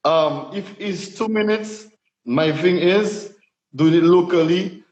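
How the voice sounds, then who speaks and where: A man's voice: high voice, slow, darkish tone. A man with a Dominican accent, a DJ answering interview questions in a laid-back, hesitant way, thinking aloud as he goes. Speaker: DJ guest. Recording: echoey room, dull lo-fi sound.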